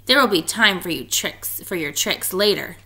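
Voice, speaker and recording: A woman voicing a huge, gruff male monster with a booming, dismissive delivery for a storybook. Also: expressive, normal speed, sharp tone. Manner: booming, emphatic.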